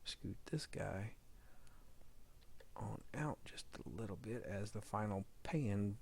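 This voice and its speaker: A man's voice: lowish voice, slow pace, vocal fry, a little mellow. A man talking casually through a sound-editing demo as he works, often muttering to himself. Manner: mumbles file labels to self while working. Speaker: fan audio drama sound editor. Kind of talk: casual screen-recorded editing demo, talking while working. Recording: faint background noise, clicking.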